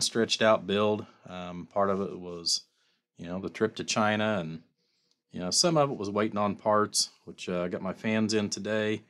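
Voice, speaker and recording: A man giving a casual, rambling workbench demonstration in a folksy, informal way, like a builder showing his work. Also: lowish voice. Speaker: custom amplifier builder presenting his work.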